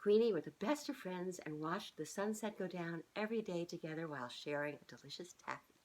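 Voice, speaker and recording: Middle-aged woman, children's storybook reader, sing-song delivery, lively swooping intonation, faint background noise. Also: on the low side, fairly quick, rough recording. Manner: expressive, sing-song, with asides addressed to child listeners. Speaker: children's author reading her own book aloud. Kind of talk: storybook reading for young children. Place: small living room, on a couch indoors.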